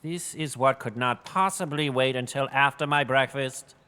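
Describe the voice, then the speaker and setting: An actor playing an irritable, haughty lord regent in a fantasy audio drama, speaking with clipped, imperious contempt. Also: male voice, medium pitch, normal speed, bright, forceful tone, clear, resonant tone, natural voice. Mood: impatient, suspicious.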